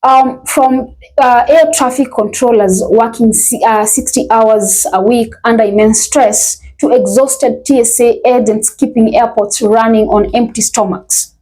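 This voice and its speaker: A woman presents a commentary show in a fairly high voice with a husky edge. Her delivery is halting, and she repeats phrases as she works through a prepared introduction. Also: steady tone, a little mellow, poor audio. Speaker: host. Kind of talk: commentary to camera, scripted intro.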